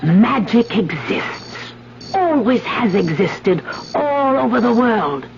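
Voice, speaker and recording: A woman's voice: fairly high pitch, very animated, slow pace, gravelly, full character voice. An actress playing an older village witch, sly, fervent and commanding, in an incantatory 1970s radio drama style. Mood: sly, persuasive. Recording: muffled, noisy 1970s radio broadcast.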